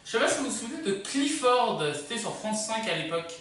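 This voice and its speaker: The voice comes through with heavy room echo, a little far from the microphone. It belongs to a video host with very animated pitch, talking off the cuff in a hesitant, rambling way. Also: fast, slight background noise. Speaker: video host presenting a countdown. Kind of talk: casual unscripted presenting to camera. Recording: echoey room, distant microphone.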